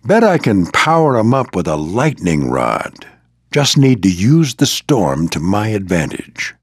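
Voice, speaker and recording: An older American man voicing a proud, gruff old military plane who mentors a squadron in a video game, with a gravelly voice and a commanding, folksy manner. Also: fairly low pitch, sing-song, unhurried, no room sound, clean studio sound, full character voice. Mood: determined. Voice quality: gravelly, husky. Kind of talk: scripted video game character lines.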